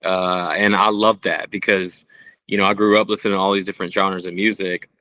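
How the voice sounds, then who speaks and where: A man's voice: sits low, little pitch movement, a little fast, bright, forceful tone, dry recording. A man from Puerto Rico, a musician, chatting casually and earnestly as a podcast guest. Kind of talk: casual interview chat.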